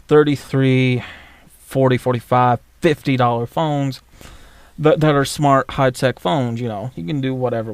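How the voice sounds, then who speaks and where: A man from the United States delivers a rambling vlog rant in a blunt, profane, straight-talking way, full of asides and fillers. His voice sits at an ordinary middle pitch, and the sound is dry and close, with no room echo. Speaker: vlogger. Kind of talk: casual ranting monologue.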